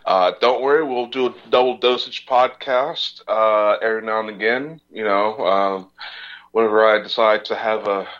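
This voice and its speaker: A man hosting a podcast, speaking haltingly with many uhs. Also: on the low side, steady tone, fairly bright tone. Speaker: podcast host. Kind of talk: casual podcast announcements. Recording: dull lo-fi audio.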